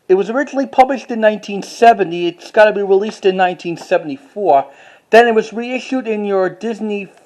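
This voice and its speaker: A collector giving a casual show-and-tell commentary, speaking haltingly with repeats and self-corrections. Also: mid-range voice, darkish tone.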